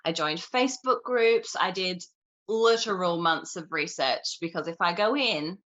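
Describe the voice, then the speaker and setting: A young woman with a Queensland Australian accent, chatting as an interview guest and telling stories with animated energy. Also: on the low side, measured pace, dull audio. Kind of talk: casual chat.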